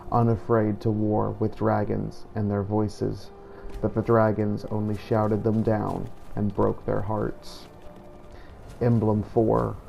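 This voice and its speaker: A man narrates a fantasy game journal in a solemn, measured way. His voice is mellow and stays very even, delivered slowly, with faint noise behind it. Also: lowish voice, vocal fry, rough recording. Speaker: player narrating a role-play playthrough. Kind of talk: scripted in-character journal narration.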